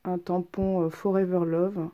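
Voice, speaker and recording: A woman's voice: lowish voice, level tone, unhurried, muffled recording. Stuffy-voiced woman craft vlogger. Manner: chatty, hesitant, many ums. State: has a head cold, stuffy. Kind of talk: casual craft show-and-tell.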